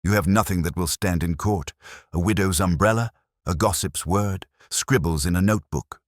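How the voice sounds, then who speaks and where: A man's voice: deep voice, normal speed, no echo, clean studio sound, pitched above the performer's own voice, lightly acted. A man voicing a sneering, defiant villain in an audiobook, snarling and scornful. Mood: contemptuous.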